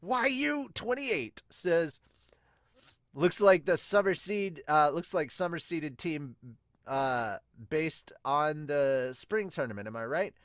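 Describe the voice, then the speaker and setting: A man hosting a podcast, reading aloud and reacting casually with excitable exclamations. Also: fairly high pitch, slow pace, no echo, clean studio sound. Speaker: podcast host and reviewer. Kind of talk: manga chapter dub reading and casual reaction commentary.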